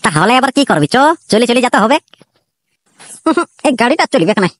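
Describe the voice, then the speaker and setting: A voice artist voicing two jittery young male soldiers in a comic cartoon, with a Purulia Bengali accent and rapid, chatty banter. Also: mid-range voice, lively intonation, fairly bright tone, dry recording. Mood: panicky.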